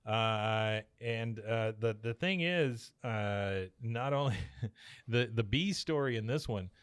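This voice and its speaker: A man's voice: medium pitch, takes it slowly, smooth, no room sound, clean studio sound. A man co-hosting a podcast in casual, enthusiastic banter, with some salty language. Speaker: podcast co-host.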